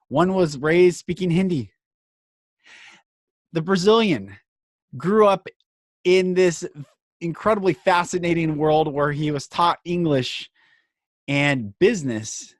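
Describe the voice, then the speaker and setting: A man hosting a podcast, speaking in an earnest, emphatic inspirational monologue broken into short phrases with dramatic pauses. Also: on the high side, dry recording, studio quality.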